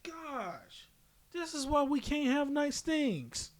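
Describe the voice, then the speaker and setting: A man's voice: extremely high pitch, very animated, slow pace. A man giving casual, opinionated podcast commentary as a host, speaking bluntly and emphatically with frequent swearing.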